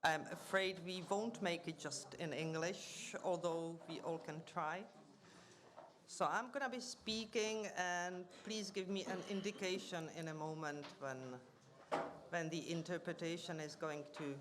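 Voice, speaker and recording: A woman chairing a formal union meeting, welcoming guests and reading out speakers' names and titles with frequent pauses and fillers, speaking into a table microphone in a conference room. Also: fairly low pitch, fairly even intonation, a bit bright, a little hiss or hum.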